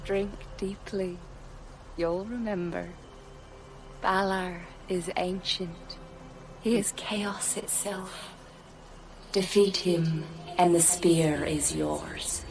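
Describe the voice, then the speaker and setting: A slow, grave druid poetess speaks in measured, cryptic phrases. She is a mystical video game figure, with faint ambient noise behind her. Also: lowish voice, fairly even intonation, creaky, darkish tone, full character voice, rough recording. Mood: solemn. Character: solemn mystical pagan druid poetess, adult woman. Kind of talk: dramatic video game acting.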